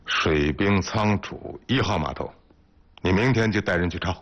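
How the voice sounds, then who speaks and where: An actor playing a shrewd, commanding intelligence station chief, with a husky voice, in a TV drama. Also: male voice, low voice, moderate pace, fairly bright tone. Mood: cool, calculating. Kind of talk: naturalistic TV drama acting. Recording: muffled TV soundtrack.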